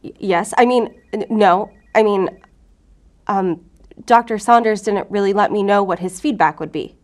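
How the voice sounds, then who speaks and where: A woman's voice: medium pitch, normal speed, natural voice. An actress playing a defensive, earnest female federal agent in an audio drama, guarded in manner. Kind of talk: audio fiction drama acting.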